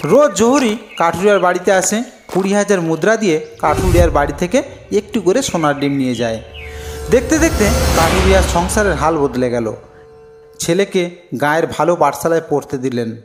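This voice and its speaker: A man's voice: high-pitched, expressive, brisk. A man narrating a scripted fairy tale as a storyteller, with music playing behind him.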